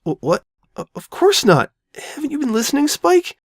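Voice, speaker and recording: A woman's voice: sits high, very animated, no room sound, clean studio sound, voice pushed up. A woman voicing a frightened, guilt-ridden young female pony who stammers and doubts herself in an audio drama. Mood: defensive. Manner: stammering, halting, anxious. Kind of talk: all-dialogue fan audio drama.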